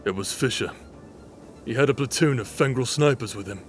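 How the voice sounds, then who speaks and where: A man's voice: mid-range voice, fairly quick, soft background music, lightly acted. An actor playing a cocky, sardonic male fighter in an anime-style audio drama. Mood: grim.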